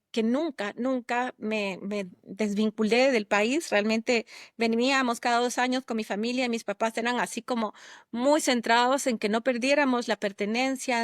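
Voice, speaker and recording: Woman from Guatemala, middle-aged, reflective interview guest, slightly high voice, dry close sound. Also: no echo. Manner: reflective, with many fillers and restarts. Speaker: guest, architect and urbanist. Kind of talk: relaxed interview talk.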